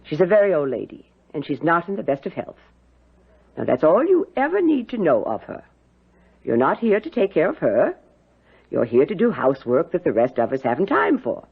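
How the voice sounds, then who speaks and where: An actress playing a stern, secretive housekeeper aunt, brusque and commanding, in a 1970s radio drama. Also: female voice, sits low, very animated, moderate pace, creaky, husky, heavily acted. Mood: curt, guarded. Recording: muffled old radio broadcast.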